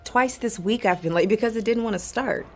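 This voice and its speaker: An actress playing a young drama student with a light, laughing manner. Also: female voice, medium pitch, a little fast, lightly acted.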